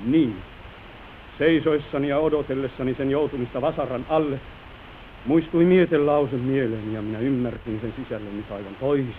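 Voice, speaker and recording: A remorseful gentleman officer acted by a man speaks in a medium-pitched, declamatory voice, full of grave self-reproach. It comes from an old radio play with narrow, phone-like sound. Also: slight background noise, lightly acted. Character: remorseful, brooding lieutenant losing his family manor. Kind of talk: old radio drama acting.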